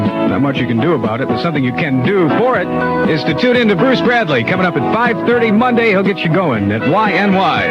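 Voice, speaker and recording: A man working as a 1980s music radio DJ, with an upbeat announcer delivery and wry asides. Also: fairly high pitch. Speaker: radio DJ filling in for another host. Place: radio studio. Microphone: studio broadcast microphone. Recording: dull lo-fi radio aircheck, loud music. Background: loud music.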